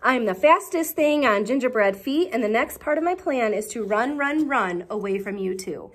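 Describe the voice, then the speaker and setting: A woman's voice: fairly high pitch, animated, normal speed, breathy edge. A woman voicing a boastful little gingerbread cookie boy in a storybook, chanting his taunts in a sing-song way. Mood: taunting.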